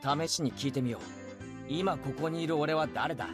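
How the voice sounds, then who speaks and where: A man's voice: a little high, fast talker, natural voice. A man playing a wry, exasperated teenage boy in a visual novel, talking in a casual boyish way. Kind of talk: Japanese visual novel voice acting. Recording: game music behind the voice.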